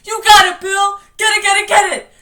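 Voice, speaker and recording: A woman's voice: very high-pitched, flat delivery, moderate pace, sharp tone, reverberant, recorded from a distance, voice pushed up. A woman playing a frantic man who screams urgent encouragement in an audiobook horror story. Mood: delirious, urging. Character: frantic male friend shouting urgent encouragement.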